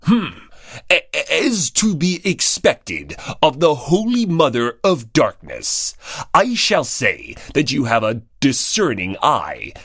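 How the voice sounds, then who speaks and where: An audiobook reader voicing a theatrical young man who styles himself a dark overlord, a man's voice with pompous, dramatic delivery. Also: mid-range voice, wildly swooping pitch, slow, creaky, husky, dry recording, studio quality, full character voice. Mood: grand, bashful. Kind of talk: audiobook character acting.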